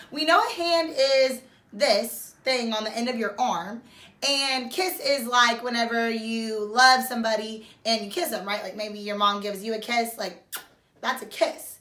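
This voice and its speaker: A woman's voice: fairly high pitch, fairly bright tone. A woman teacher doing a read-aloud lesson for young children, explaining simply and addressing them directly. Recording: roomy echo.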